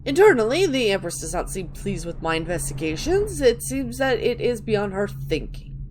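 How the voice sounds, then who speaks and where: A woman reads video game texts aloud in a theatrical, storytelling way. Her pitch is middling, and she trips on words now and then before picking the phrase back up. The recording has clear background noise. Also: sing-song, normal speed. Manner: theatrical, stumbles over words and restarts. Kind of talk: dramatic reading of in-game books.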